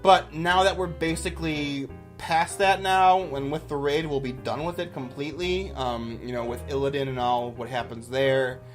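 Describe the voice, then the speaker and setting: A man's voice: fairly high pitch, steady tone, moderate pace, few pauses, faint music behind. A man giving casual, off-the-cuff commentary as a YouTuber, with an enthusiastic, rambling manner.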